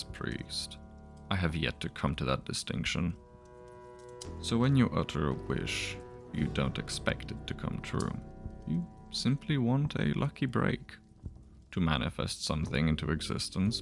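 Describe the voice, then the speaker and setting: Man playing a sly, menacing wish dealer, swooping pitch. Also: animated, creaky, soft background music, heavily acted. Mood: patient, probing. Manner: deliberate, philosophical, teasing.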